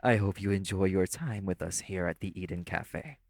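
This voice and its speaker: An actor playing a primly formal, sarcastic, exasperated waiter in an audio roleplay. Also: male voice, low voice, normal speed, creaky, heavy background noise. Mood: strained politeness.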